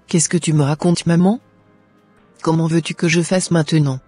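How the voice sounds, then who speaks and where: A woman's voice: low-pitched, fairly even intonation, moderate pace, mellow, slightly rough, no echo, faint music behind. A woman voicing a resentful, ungrateful young student daughter in a cartoon, complaining with scorn. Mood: angry, scornful.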